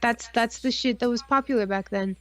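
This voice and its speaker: A woman's voice: a little high, fairly quick, fairly bright tone, dry recording. A woman co-hosting a podcast live stream in casual, informal chat with plenty of swearing.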